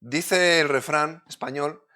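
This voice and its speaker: A man from Madrid with a Madrid Spanish accent, preaching a sermon, moving between loud urgent points and quiet, close asides. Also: fairly high pitch, slow pace. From Spain.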